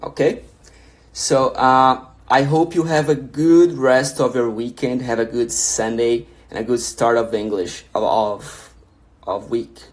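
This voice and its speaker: A man's voice: medium pitch, fairly even intonation, slow pace. A young man from Brazil with a Brazilian Portuguese accent, a native Portuguese speaker, hosting a casual livestream where he reads viewer questions aloud and answers them encouragingly, often restarting his sentences.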